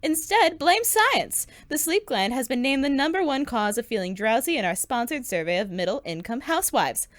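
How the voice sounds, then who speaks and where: A woman's voice: high-pitched, very animated, normal speed, hardly any pauses, dry recording. A woman playing a chirpy sponsor announcer in an old-time radio comedy, with polished, emphatic sales patter. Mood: chirpy, salesy. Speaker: sponsor pitchwoman.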